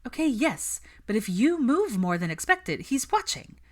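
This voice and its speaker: A woman's voice: on the high side, very animated, unhurried, pitched above the performer's own voice. A woman voicing a brash, teasing young witch who pries at her friend in a smug, dramatic way, in a story reading. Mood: teasing, probing.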